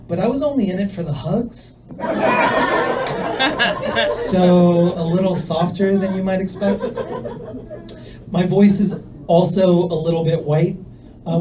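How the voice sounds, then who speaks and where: A young man from El Salvador doing a stand-up set in a comedy club, with a soft voice and a hesitant, deadpan delivery full of fillers. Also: high voice, noisy background. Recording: voice from far off the mic.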